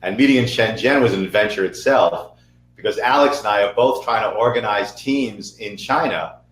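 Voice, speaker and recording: A man hosting a webinar interview, chatty, energetic and jokey. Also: lowish voice, normal speed, reverberant, poor audio. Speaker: webinar host. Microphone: video call.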